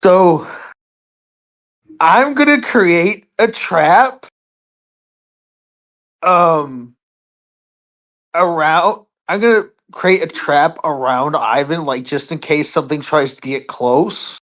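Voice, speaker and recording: A woman's voice: sits low, takes it slowly, pauses often, a bit bright. A woman chatting casually as a player in a tabletop game, hesitantly thinking aloud. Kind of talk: casual chat.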